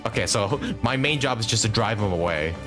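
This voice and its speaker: A man's voice: fairly low pitch, lively intonation, a little fast, over music. A man doing casual live commentary as a game streamer, thinking aloud as he plays and swearing casually.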